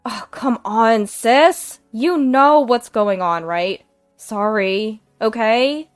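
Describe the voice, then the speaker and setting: A woman's voice: mid-range voice, wildly swooping pitch, normal speed, dry recording, clean studio sound, soft background music. A woman voicing a shameless young woman who talks in an offhand, flippant way.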